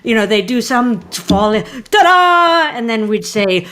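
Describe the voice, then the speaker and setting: A woman's voice: a little high, big pitch swings, slow, a bit bright. A middle-aged woman speaking reflectively and conversationally as a guest in a panel discussion.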